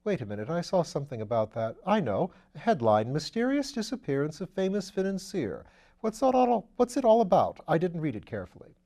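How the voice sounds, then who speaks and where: An excitable upper-class gentleman sleuth, as a male reader puts him on, pitched well above the reader's own voice. He is chatty, playful and theatrical, a whimsical audiobook character. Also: a little fast. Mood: eager, amused.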